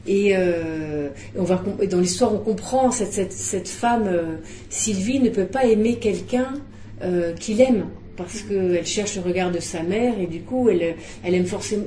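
A middle-aged woman director speaks about her film in a soft, mellow voice. She talks in an animated way and restarts her sentences often. An echoey room and faint background noise surround her. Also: medium pitch. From Belgium. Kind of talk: press conference interview.

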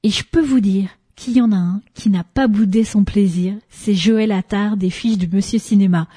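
A woman's voice: mid-range voice, moderate pace, warm tone, breathy edge. A woman presenter giving an enthusiastic voice-over introduction to an interview clip.

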